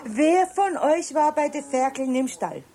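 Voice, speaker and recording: An actress playing a busy farmer's wife with a Bavarian accent in a 1970s radio play, brisk and scolding. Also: female voice, high-pitched, lively intonation, warm tone.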